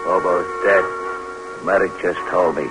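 A very deep male voice on an old radio recording. a blunt, war-weary soldier who speaks in short, terse lines in a 1940s drama. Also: little pitch movement, a little slow, music in the background, rough recording. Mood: grim.

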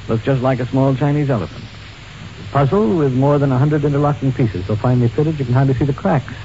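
An actor playing a calculating, self-assured art dealer turned diamond smuggler in a 1940s radio drama. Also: male voice, mid-range voice, fast talker, warm tone, natural voice. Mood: smugly confident. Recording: muffled, faintly noisy old radio recording.

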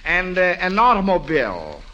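A man hosts an old radio quiz show for kids in a bright, fairly high voice. He is encouraging and feels his way through phrases, with faint noise from the vintage broadcast behind him. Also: wildly swooping pitch, moderate pace, lo-fi sound. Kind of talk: old-time radio quiz hosting, addressing children.

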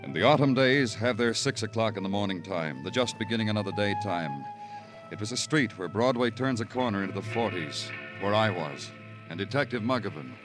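Man acting brooding New York detective, American, low voice, hard-boiled poetic delivery, old radio drama, music behind. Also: expressive, fairly quick, natural voice. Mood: brooding, lyrical. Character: brooding, world-weary male New York police detective. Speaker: narrator and lead actor. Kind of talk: 1940s-50s radio crime drama acting.

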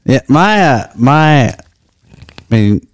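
Young male co-host, casual rambling storyteller, clear smooth voice, dry close recording. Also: mid-range voice, big pitch swings, slow pace, no room sound. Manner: rambling storyteller, lots of "like" fillers. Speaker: podcast co-host. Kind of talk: casual chat.

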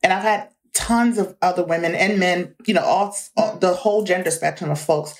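A woman's voice: on the low side, normal speed. A woman in her forties, talking as an interview guest in a reflective, earnest way that breaks into easy laughter. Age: 40s. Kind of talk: candid conversational interview.

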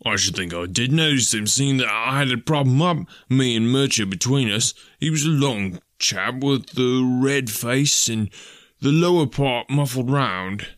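A rustic police constable played by a male narrator from a detective storybook, simple and wary. He grumbles along in homely, uneducated phrasing, raised above the reader's usual pitch, in a close, dry recording. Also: expressive, unhurried, fairly bright tone, dry recording. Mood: aggrieved. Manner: uneducated dialect phrasing, aggrieved.

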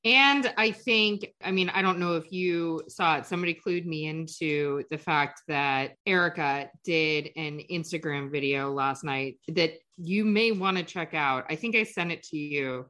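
Woman, slightly low pitch, bright forceful voice, casual podcast chat, blunt, sardonic. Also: a little slow, hardly any pauses. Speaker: podcast co-host or guest.

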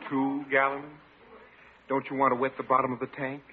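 An actor playing a wisecracking, weary young service station owner, sardonic and needling, in an old-time radio comedy. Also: male voice, mid-range voice. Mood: sarcastic. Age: young adult. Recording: tinny vintage radio, faint noise.

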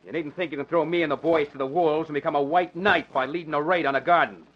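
An actor playing an angry, sneering, blustering gangster in 1940s radio drama style. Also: male voice, on the high side, steady tone, fast, close to an everyday voice. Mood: angry, accusing. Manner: blustering, accusing. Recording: muffled vintage radio recording.

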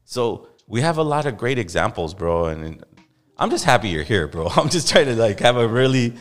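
A man's voice: mid-range voice, big pitch swings, brisk. A man hosting a casual podcast chat, laid-back and loose, calling his guest bro and swearing freely. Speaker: podcast host. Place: podcast studio in Los Angeles.

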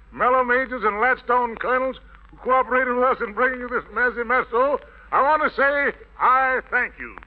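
A man's voice: extremely high pitch, narrow, tinny audio. An American man hosting a 1940s radio variety show in showy, playful jive patter, on an old recording. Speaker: master of ceremonies.

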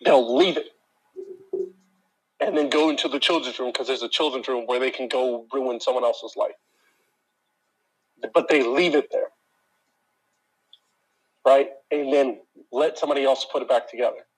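A man with a deep voice that carries a faint huskiness. He tells a story from his job as a long, exasperated rant, in loose, casual chat aimed straight at his listeners. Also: moderate pace, pauses often, slightly rough, low-quality recording.

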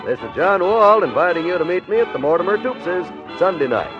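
A man's voice: high voice, animated, fairly quick, over music. An American man announcing scripted promotions and credits in a polished, emphatic 1950s radio style. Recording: dull vintage radio recording.

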